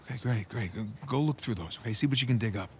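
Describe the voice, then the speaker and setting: A man's voice: medium pitch, quick pace, a little hiss or hum, natural voice. An American man playing a wry, restless young treasure hunter in a video game, talking casually and often muttering to himself. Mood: earnest, hurried. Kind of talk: naturalistic video game acting. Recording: game ambience behind the voice.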